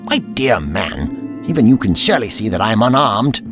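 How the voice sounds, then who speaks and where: A man plays an elderly gentleman traveller in an audio drama, prim and indignant. His pitch leaps about dramatically as he delivers pompous, old-fashioned phrases. Music plays clearly underneath. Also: very animated, moderate pace. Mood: haughty. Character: fussy, indignant elderly male time traveller.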